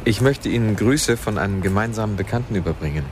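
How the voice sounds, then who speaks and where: A man's voice: on the low side, animated, fast talker, lightly acted. Actor as polite, insistent young man, noisy street. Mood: formal, polite. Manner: formal, courteous, insistent. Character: polite, insistent young male lawyer acting as go-between. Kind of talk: radio drama acting. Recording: noisy outdoor street ambience with vehicles.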